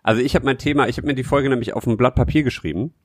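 A man co-hosting a podcast in an informal, halting way, searching for his words as he chats. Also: medium pitch, no room sound, studio quality. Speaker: podcast co-host. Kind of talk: casual chat.

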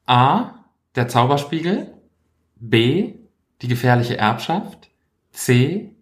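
A man's voice: medium pitch, big pitch swings, takes it slowly. A man hosting a casual podcast chat, playfully quizzing his co-hosts and reading out answer options. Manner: playful, reads out quiz options. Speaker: podcast host, quiz master.

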